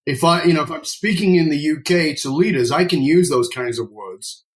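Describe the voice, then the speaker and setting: A man's voice: a little high, normal speed. A middle-aged man with a British accent, speaking conversationally as an interview guest in an emphatic, outspoken manner. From United Kingdom. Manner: emphatic, outspoken, swears casually. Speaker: podcast guest, speaking coach.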